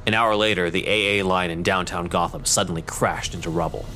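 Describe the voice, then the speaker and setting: A man's voice: low voice, steady tone, brisk, background noise. A man delivering scripted narration as the storyteller of an audio drama.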